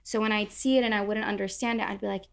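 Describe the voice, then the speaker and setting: Woman with a creaky, chatty voice. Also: mid-range voice, steady tone, quick pace. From Orange County, California. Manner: chatty, self-deprecating, laughs often. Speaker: content creator, podcast guest. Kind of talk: casual roundtable conversation.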